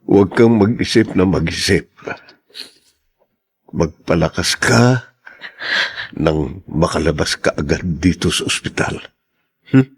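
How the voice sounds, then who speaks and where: An actor playing a middle-aged father who gently hushes and comforts his sick daughter in hospital. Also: deep voice, animated, takes it slowly, long pauses, slightly rough, exaggerated voice. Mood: soothing. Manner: soothing, hushing. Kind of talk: dubbed TV drama acting.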